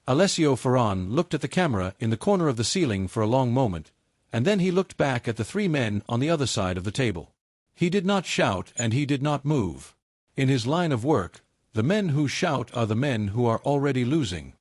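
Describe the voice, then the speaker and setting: A man's voice: medium pitch, very animated, a little fast, no room sound, studio quality. A man reading a dramatic romance thriller as a scripted audiobook narration.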